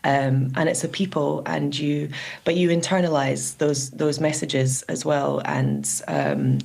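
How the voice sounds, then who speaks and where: A woman, an actress guest in a radio interview, speaking in a thoughtful, measured way. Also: low voice, expressive, vocal fry, darkish tone. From Scotland.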